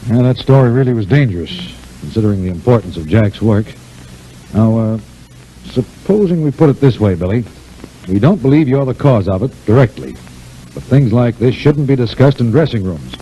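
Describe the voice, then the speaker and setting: Very dark-toned, firm show boss. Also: male voice, mid-range voice, sing-song, normal speed, breathy edge. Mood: firm, reproving. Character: Mister Hunt, firm, businesslike, fatherly male show boss. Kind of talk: 1940s radio serial acting. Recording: noisy old 1940s radio recording.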